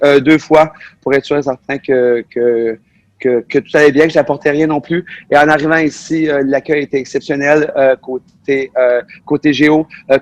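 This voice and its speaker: Québécois détendu, son sec. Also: male voice, fairly high pitch, no echo. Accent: Québécois French. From Quebec. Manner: relaxed, with frequent fillers. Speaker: travel agent and guest. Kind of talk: casual interview.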